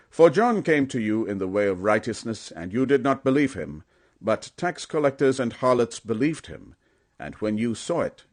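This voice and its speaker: A man narrating scripture as a scripted audiobook, in a solemn, emphatic storytelling manner. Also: on the low side.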